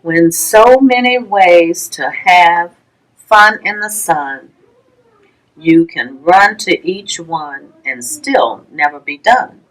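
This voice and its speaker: A woman reading a rhyming children's picture book aloud, with a measured sing-song delivery and pauses between phrases. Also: on the low side, expressive, low-quality recording. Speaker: library storytime reader.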